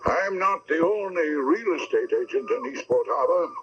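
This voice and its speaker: An actor playing a folksy, plain-spoken small-town sheriff who doubles as estate agent, in a 1970s radio drama. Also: male voice, a little high, animated, moderate pace, natural voice. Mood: matter-of-fact, knowing. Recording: dull, faintly noisy 1979 radio broadcast.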